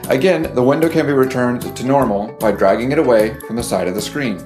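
A man reading a scripted tutorial voice-over in an even, read-aloud way. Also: medium pitch, fairly quick. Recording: played video in a roomy hall with music.

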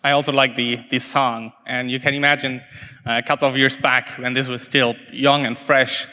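A man whose first language is German gives a conference talk, stopping and restarting often, with plenty of fillers. His voice is bright and forceful. The sound carries a long hall reverb. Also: mid-range voice, level tone. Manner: halting, many restarts and fillers. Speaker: conference speaker.

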